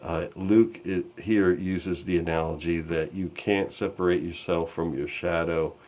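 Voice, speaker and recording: A man hosting a casual podcast discussion over an online call, speaking in a halting, scattered, self-deprecating way. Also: low-pitched, fairly even intonation, a little mellow. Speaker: podcast host. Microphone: online call microphone. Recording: voice call with intermittent distortion.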